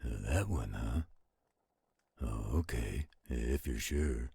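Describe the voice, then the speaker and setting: A man playing a gentle, protective werewolf in a roleplay audio, telling bedtime stories in a slow, intimate, caring way. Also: animated, heavily acted. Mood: fond, hesitant. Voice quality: very deep, husky, gravelly growl. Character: gentle protective male werewolf, soothing storyteller to his partner.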